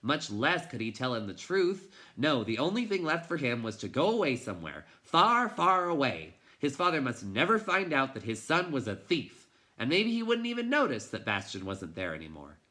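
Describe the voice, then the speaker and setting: A man reading a children's novel aloud in an expressive, dramatic way, moving between hushed and intense delivery. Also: mid-range voice, moderate pace, few pauses. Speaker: teacher reading a storybook aloud.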